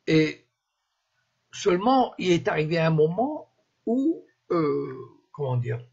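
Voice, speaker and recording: A man in his seventies from Morocco, whose first language is Moroccan Arabic, giving an unscripted live-stream monologue, often pausing and restarting to find his words. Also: a little high, slightly rough. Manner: halting, searching for words, frequent restarts. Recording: dull lo-fi live-stream audio.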